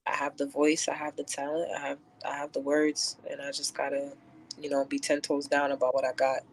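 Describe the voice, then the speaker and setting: A woman's voice: a bass voice, monotone, fairly quick, low-quality recording. A woman guest who is a spoken word poet, moving between casual talk and rhythmic, declamatory verse. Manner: declamatory, rhyming delivery when reciting.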